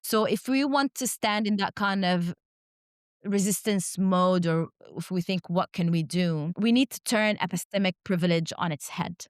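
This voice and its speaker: A woman whose first language is Arabic, speaking as an academic guest in a reflective interview, measured and often ending points with a questioning "right?". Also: fairly low pitch, clean tone, no room sound, clean studio sound. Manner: measured, rhetorical "right?" tags.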